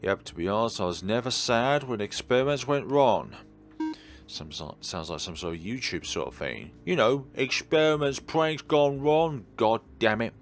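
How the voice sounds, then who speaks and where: A man's voice: medium pitch, lively intonation, normal speed. A man doing a let's-play, reading aloud and adding musing, rambling commentary of his own. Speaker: video game streamer. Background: faint background game music.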